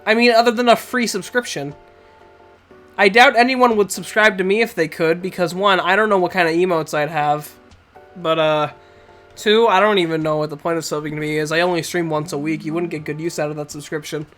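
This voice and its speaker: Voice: mid-range voice, fairly even intonation, fairly quick, fairly bright tone. Streamer, getting over a cold, nasal; loose chatty delivery, faint background music. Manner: rambling, chatty, laughs often, talks to the chat. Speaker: Twitch streamer playing a video game. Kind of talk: casual live-stream chat with viewers.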